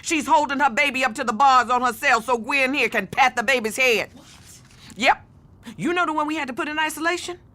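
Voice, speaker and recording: An actress playing a hard, bitter middle-aged American aunt who works as a supervisor, scolding and commanding in a naturalistic radio play. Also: female voice, high-pitched, fairly quick, bright, forceful tone. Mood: sarcastic alarm. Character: hard, bitter older aunt, jaded detention-center supervisor.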